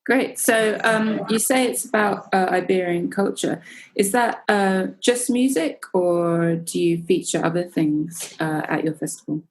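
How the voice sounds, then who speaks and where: A woman's voice: fairly low pitch, measured pace, poor audio. A woman hosting a live webinar Q&A, giving brief, upbeat prompts to her guests. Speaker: webinar host.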